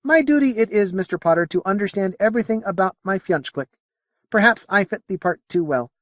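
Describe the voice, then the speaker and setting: A man's voice: high voice, lively intonation, brisk, a bit bright, no room sound, clean studio sound, voice pushed up. A man voicing an earnest, inquisitive alien who speaks formally in a Scottish accent, in an audiobook story. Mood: earnest, curious. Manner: formal, old-fashioned dialect phrasing.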